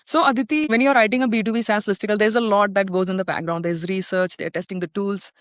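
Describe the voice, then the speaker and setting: A woman with an Indian accent who hosts a podcast and asks questions in a measured way. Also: medium pitch, steady tone, dry recording, studio quality. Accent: Indian English. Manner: measured questioning, thorough explanations with restarts. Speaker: podcast host. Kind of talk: podcast interview.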